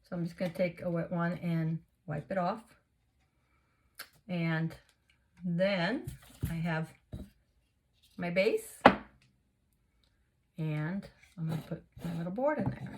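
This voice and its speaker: A woman hosting a casual craft tutorial, chatting through each step and a little hoarse and sniffly. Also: on the low side, slow, long pauses, darkish tone. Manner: chatty, narrates each step, gushes over results. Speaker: craft video host.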